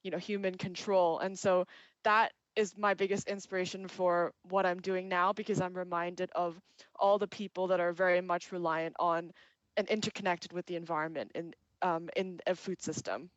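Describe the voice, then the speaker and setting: A woman's voice: fairly low pitch, level tone, moderate pace, dry recording. A woman in her twenties from Hong Kong, a climate advocate introducing herself fluently on a panel. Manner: fluent and articulate. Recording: dry, dull lo-fi sound.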